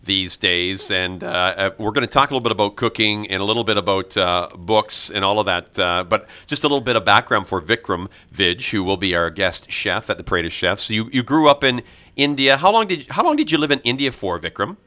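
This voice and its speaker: A man hosts a morning radio interview in a fairly low, bright, forceful voice. He halts often, with plenty of "uh" and false starts as he gets his questions out. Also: lively intonation. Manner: halting, frequent "uh" and stammered restarts. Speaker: radio host.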